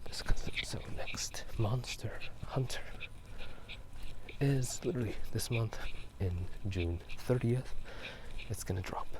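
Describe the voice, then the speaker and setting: A man whispering a casual, rambling ASMR chat in a halting, unhurried way while out walking through a town at night. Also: medium pitch, poor audio. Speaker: ASMR video host.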